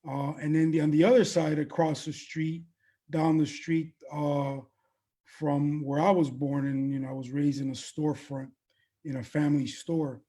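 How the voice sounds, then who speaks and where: A man in his fifties answering interview questions as a guest, speaking haltingly with frequent pauses between short phrases. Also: on the high side, level tone, dark tone. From born in Colombia, raised in the US. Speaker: interview guest, veteran event producer. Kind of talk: interview answers about own background.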